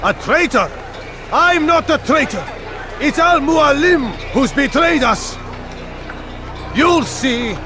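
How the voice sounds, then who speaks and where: A man's voice: extremely high pitch, lively intonation, slow pace, long pauses, music in the background, crowd noise, exaggerated voice. An actor playing an impassioned, defiant traitor who declaims his beliefs in a dramatic video game. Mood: fervent, preaching. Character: impassioned male traitor preaching defiantly to a crowd.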